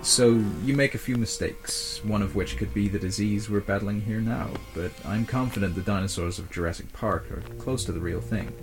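An actor playing an earnest male scientist in an audio drama, explaining things in a measured, polite way. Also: fairly low pitch, over music, lightly acted. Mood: measured, explanatory. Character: earnest male genetic scientist defending his dinosaur work.

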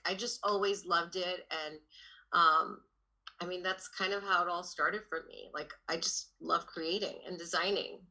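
A young woman from Los Angeles chats as an interview guest, her voice bright yet sitting a little low. She talks loosely, stringing thoughts together with constant fillers. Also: poor audio. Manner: filler-heavy, lots of "like" and "um". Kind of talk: casual interview chat.

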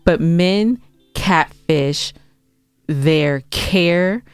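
American woman podcast co-host, lowish voice, blunt and teasing banter, dry close-mic sound. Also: very slow, clean tone, dry recording, soft background music. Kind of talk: casual bantering chat. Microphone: close podcast microphone.

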